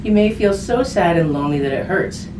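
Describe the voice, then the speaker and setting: Lady doing kids storytime, lowish voice, chatty asides, noisy recording w/ echo. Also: expressive, brisk. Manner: conversational, simple phrasing aimed at children, with tag questions. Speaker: hospice volunteer reading a picture book to children. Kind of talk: read-aloud storytime with casual asides. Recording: roomy, distant mic with background noise.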